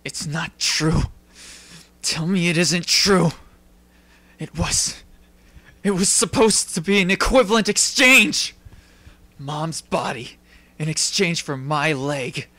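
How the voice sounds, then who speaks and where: A man's voice: a little high, lively intonation, takes it slowly, long pauses, bright, forceful tone, dry recording, heavily acted. Intense young boy alchemist, played by a man. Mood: anguished. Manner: intense, emotional, dramatic. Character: hot-tempered, earnest teenage boy alchemist with fierce feelings. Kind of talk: anime dub acting.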